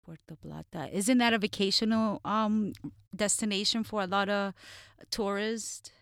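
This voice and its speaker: A woman's voice: medium pitch, steady tone, normal speed, vocal fry, smooth, dry recording, clean studio sound. A woman hosting an interview, asking questions in a friendly, upbeat way. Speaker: interview host.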